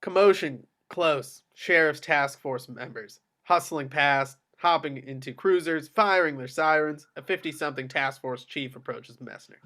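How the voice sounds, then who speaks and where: A man with a slightly high voice narrates a film script. He reads stage directions in a present-tense, scripted style at a table read. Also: unhurried, few pauses, bright, forceful tone.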